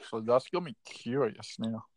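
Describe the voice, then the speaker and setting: A man's voice: mid-range voice, expressive, unhurried, a little mellow, no echo. An Australian man, a guest on a podcast, chatting casually and telling stories readily. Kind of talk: casual chat.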